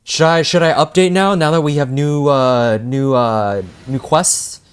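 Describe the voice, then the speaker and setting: A man's voice: a little high, a little slow, clear, resonant tone. A young man giving casual, slangy live commentary as he reacts to a video game. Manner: informal, slangy. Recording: game music faint behind.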